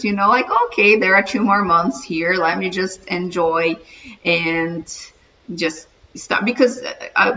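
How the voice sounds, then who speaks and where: A woman's voice: lowish voice, bright, forceful tone. A middle-aged woman from Brazil with a Brazilian Portuguese accent, earnestly introducing her channel and encouraging viewers. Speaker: vlogger, channel host. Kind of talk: casual self-introduction to camera. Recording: distant mic, dull muffled sound, faint room hiss.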